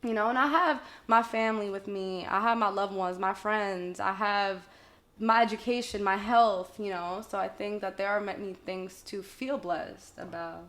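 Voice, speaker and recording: A young woman in her twenties, a podcast guest chatting casually in an upbeat way, with a loud voice and frequent laughter. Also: medium pitch, steady tone, normal speed. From family from Algeria. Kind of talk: casual chat.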